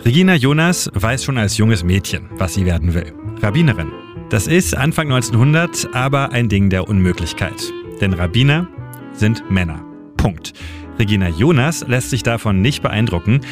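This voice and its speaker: A man's voice: lowish voice, big pitch swings, normal speed, music in the background. A man reading a scripted historical radio story in short, pointed sentences. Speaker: radio editor and city guide.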